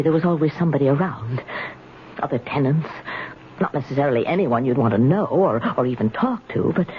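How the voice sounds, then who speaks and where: An actress playing a fretful, lonely elderly spinster who chatters haltingly to herself in a 1970s radio drama. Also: female voice, low-pitched, narrow, tinny audio. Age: older. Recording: noisy, band-limited 1970s radio recording.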